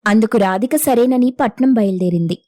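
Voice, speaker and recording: A woman's voice: a little high, moderate pace, mellow, no room sound, clean studio sound. A woman narrating a scripted moral story as the storyteller.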